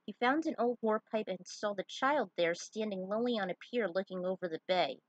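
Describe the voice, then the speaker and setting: Female storyteller, slightly rough husky voice, close and dry, informal narration with off-the-cuff asides. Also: medium pitch, expressive, brisk, no room sound, lo-fi sound. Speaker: fan fiction writer reading their own story.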